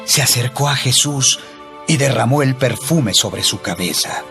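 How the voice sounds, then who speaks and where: A man narrating a dramatized Bible reading with expressive storytelling. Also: mid-range voice, measured pace, gravelly. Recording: music bed throughout.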